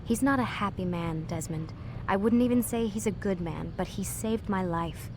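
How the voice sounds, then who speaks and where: An actress playing a young scientist in a video game, earnest, weary and guarded, speaking in a confiding, halting way. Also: female voice, mid-range voice, background noise, close to an everyday voice. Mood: resigned. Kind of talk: naturalistic game dialogue.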